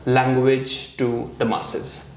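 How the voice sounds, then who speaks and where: A man from India hosting a casual interview, friendly and halting, with many fillers. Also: mid-range voice, completely flat delivery, vocal fry, darkish tone, a little hiss or hum. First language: Hindi. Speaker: host. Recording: echoey room with faint ambience.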